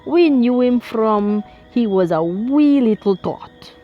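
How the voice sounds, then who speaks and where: A woman's voice: a little high, expressive, takes it slowly, highs cut off, soft background music, voice pushed up. A woman voicing a jovial middle-aged male Italian restaurateur with a put-on Italian accent, effusive and teasing.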